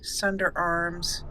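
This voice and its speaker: A woman's voice: on the low side, background noise. A woman giving casual let's play commentary, chatty and giggly, explaining things step by step as she plays. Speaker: gaming channel host.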